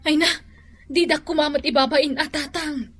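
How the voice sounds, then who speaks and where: An actress playing a plaintive, exasperated grown daughter in a comedy radio drama, with an Ilocano accent. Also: female voice, high-pitched, steady tone, normal speed, fairly bright tone, soft background music. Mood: plaintive scolding. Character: plaintive grown daughter scolding her stubborn father.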